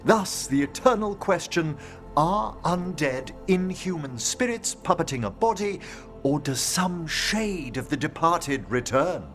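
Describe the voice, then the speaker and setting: An actor playing a gentle, earnest scholar of necromancy in a video game, speaking formally and precisely. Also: male voice, on the high side, expressive, unhurried, faint music behind. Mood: philosophical. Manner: formal, precise, eloquent speech. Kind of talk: video game character acting.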